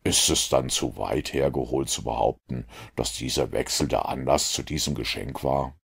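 A man voicing a self-assured, analytical detective in an audiobook, with a gravelly, husky voice and a measured, lecturing manner. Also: very deep voice, exaggerated voice. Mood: self-assured, reasoning. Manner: measured, didactic, superior. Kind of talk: audiobook character reading.

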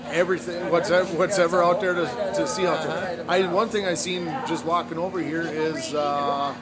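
A middle-aged man talks in a plain, rural, chuckling way, his voice slightly high. He is close to a phone camera inside a fair building, with a dense crowd loud around him. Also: fairly even intonation, moderate pace. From Minnesota. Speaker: fair visitor. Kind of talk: casual vox-pop interview. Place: indoor fair exhibit building. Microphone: phone camera at arm's length.

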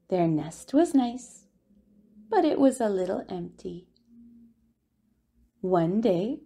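A woman reading a children's picture book aloud as a storyteller, with expressive, deliberate delivery and drawn-out words. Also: a little high. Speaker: children's storyteller at a family centre.

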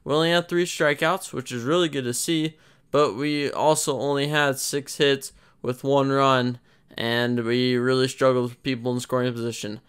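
Young guy doing a casual fan game recap, super clean smooth voice, mid pitch. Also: steady tone, moderate pace, fairly bright tone. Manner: earnest, frustrated fan analysis with restarts. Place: voice-over over game footage.

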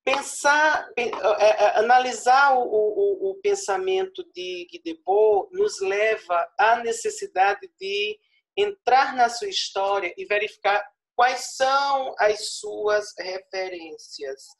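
A man's voice: high-pitched, lively intonation, creaky, fairly bright tone, poor audio. A man in his forties with a northeastern Brazilian Paraíba accent, giving an online lecture over a video call headset, stretching out key words and checking in with "certo?". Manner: expansive, tags points with "certo?", stretches key words slowly. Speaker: guest lecturer. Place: small room at home. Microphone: earphone headset on video call.

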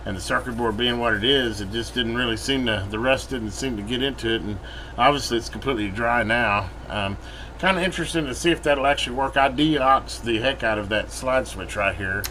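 A man's voice: mid-range voice, brisk, rough recording. A middle-aged American man giving casual, rambling repair commentary, thinking aloud in a folksy way. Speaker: repair channel host. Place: indoor workbench. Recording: steady room hum, air-conditioner-like noise.

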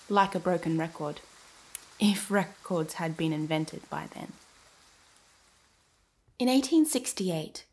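Low-pitched woman narrating a documentary podcast. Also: darkish tone.

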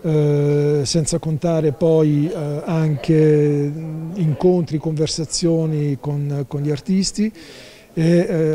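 A man's voice: a little high, dark tone. A man in his sixties being interviewed as a festival's artistic director, talking through a face mask with many fillers and restarts. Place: indoor office, wearing a face mask.

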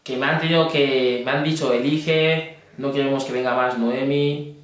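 A man's voice: mid-range voice, steady tone, moderate pace, crowd noise. Young man in a very echoey room. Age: young adult. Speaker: prank caller, fitness trainer. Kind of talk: casual prank phone call chat. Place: indoor green screen studio. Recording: very echoey room, distant mic.

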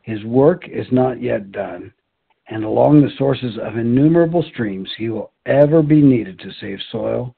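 Very gravelly man reading over phone-like audio. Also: mid-range voice, a little slow. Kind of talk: literary narration read aloud.

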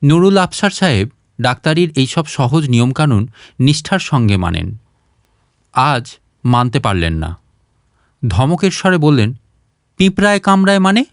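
A man reading a short story aloud as a scripted, expressive narration. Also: medium pitch, measured pace, long pauses, dry recording, clean studio sound. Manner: expressive, acts out dialogue.

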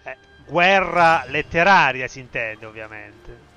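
Unhurried young man streaming, lively intonation. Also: medium pitch. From Italy. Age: young adult. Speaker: game streamer. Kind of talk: casual live gameplay commentary. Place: indoor room, game audio behind. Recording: dull band-limited sound, game music.

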